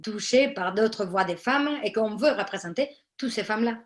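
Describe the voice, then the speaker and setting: A woman speaking on a public panel about the theatre workshops she runs. She tells stories in an animated, engaged way, in a voice of ordinary pitch. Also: moderate pace. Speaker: theatre workshop leader for women. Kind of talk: panel discussion.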